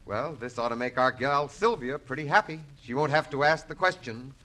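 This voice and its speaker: An actor playing a wisecracking, out-of-work prime minister with vaudeville comic timing, in 1940s radio acting style. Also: male voice, medium pitch, moderate pace, bright, forceful tone, lightly acted. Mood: scheming.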